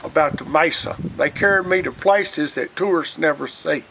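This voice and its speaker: An older Southern man from Alabama with a high, bright, forceful voice reminisces casually. He rambles in a folksy way and often quotes old conversations. There is a little background noise on the recording. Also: moderate pace, vocal fry, low-quality recording. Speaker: storyteller, retired union organizer.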